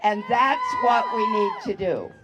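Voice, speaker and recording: A woman human rights activist giving a slow, solemn rally speech into a microphone outdoors, building to shouted calls to the crowd. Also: medium pitch, animated, very noisy. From United States. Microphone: public address microphone.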